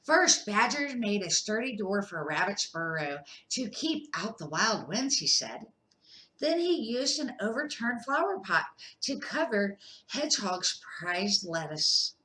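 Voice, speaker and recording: An older woman reading a children's picture book aloud in an expressive, deliberate storytelling manner. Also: medium pitch, low-quality recording. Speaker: amateur picture-book reader for grandchildren.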